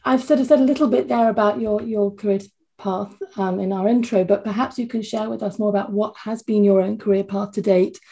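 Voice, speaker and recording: A woman's voice: medium pitch, fairly even intonation, a little fast, dark tone. A woman hosting a podcast, introducing her guest and asking interview questions. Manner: reads a scripted guest introduction. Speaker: podcast host.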